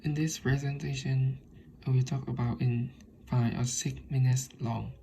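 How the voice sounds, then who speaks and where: A man with a Vietnamese accent presents like a student in front of a class. His delivery is slow and hesitant, with little movement in pitch. The voice is soft-edged and mellow, recorded with slight background noise. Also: flat delivery, creaky. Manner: halting, hesitant delivery in non-native English.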